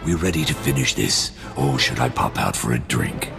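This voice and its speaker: An actor playing an easygoing, wisecracking demolitions soldier in a video game, with a gravelly voice and a wry, laid-back manner. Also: male voice, rumbling low, animated, moderate pace, big-room sound, music in the background, exaggerated voice. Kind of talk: video game cutscene acting.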